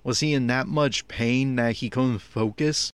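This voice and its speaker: A man's voice: mid-range voice, unhurried, clean tone, no room sound. A man giving opinionated review commentary in a critical, nitpicky, exasperated manner. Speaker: podcast host giving episode commentary.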